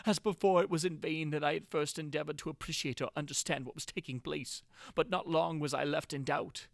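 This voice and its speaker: A man's voice: on the high side, fairly even intonation, brisk, creaky, no echo, clean studio sound. A man narrating gothic horror as a dramatic reading, with an intense theatrical delivery. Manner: theatrical, intense delivery with big swings from hushed to crying out.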